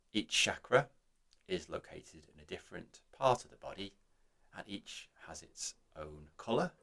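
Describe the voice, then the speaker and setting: Slow, low-voiced man guiding meditation. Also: a bit bright. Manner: soothing, reassuring, unhurried. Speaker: guided meditation host. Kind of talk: gentle guided meditation narration.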